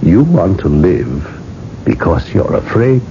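An actor playing a shrewd, sardonic gatekeeper who probes and needles in a radio drama. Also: male voice, deep voice, big pitch swings, full character voice. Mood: probing, knowing. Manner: probing, sardonic, deliberate. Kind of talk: 1980s radio drama acting. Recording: muffled, noisy old radio recording.

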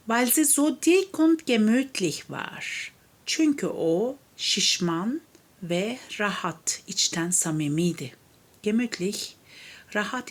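A woman with a Turkish accent, a native Turkish speaker, reading a children's tale aloud as a language teacher, deliberately explaining and translating it phrase by phrase. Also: medium pitch. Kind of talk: read-aloud story with line-by-line translation.

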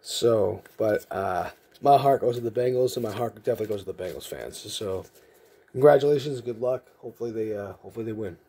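A man with a very dark, mellow and husky voice, from Massachusetts, with a softened Massachusetts accent. He talks casually and rambles from one joking aside to the next as he sorts cards in a room lined with shelves. The camera mic picks him up while he stays out of frame. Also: lowish voice, animated, brisk. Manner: rambling, chatty, jokey asides. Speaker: card collecting channel host. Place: indoor room with card shelves.

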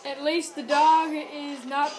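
High-voiced woman teasing a gamer. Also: level tone, takes it slowly. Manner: teasing, offers advice. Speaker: friend watching the game. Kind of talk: casual chat.

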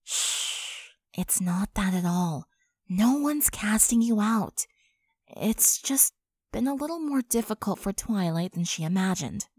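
A woman's voice: mid-range voice, expressive, slow pace, darkish tone, husky, no room sound. A woman playing a firm but caring young female unicorn, frank and soothing with her friend. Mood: gentle, soothing.

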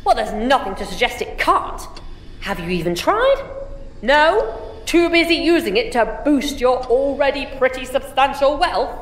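A woman's voice: high-pitched, expressive, a little slow. A woman playing an earnest, challenging young woman. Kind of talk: audio drama acting. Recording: roomy reverb with music behind.

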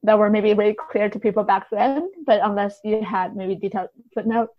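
A woman's voice: medium pitch, fairly even intonation, a little fast. A woman guest, a literary translator, explaining things in an interview in a hedging, conversational way. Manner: explanatory, hedging with many softeners. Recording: dull, lo-fi audio.

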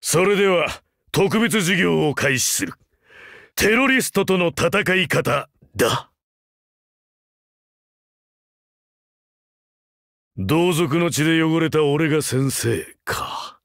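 A male actor playing a rugged older vampire hunter turned teacher in a game, wry, laconic and gruffly fatherly. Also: a little high, lively intonation, slow, fairly bright tone, dry recording, studio quality, heavily acted. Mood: stern. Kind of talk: Japanese mobile game character voice lines.

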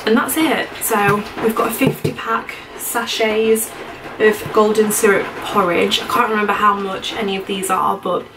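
A woman's voice: medium pitch, steady tone, moderate pace. A woman in her thirties with a British accent, chatting casually and informally to camera as a home vlogger. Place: indoors in a home kitchen and small utility room. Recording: echoey room, distant mic, noisy background.

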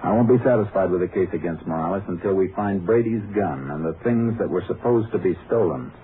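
An actor playing a calm, skeptical Texas Ranger in a 1950s radio drama, speaking in a dry, measured, deadpan way. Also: male voice, low-pitched. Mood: firm, skeptical. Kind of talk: 1950s radio drama acting, first-person narration and dialogue. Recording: muffled vintage radio recording with music.

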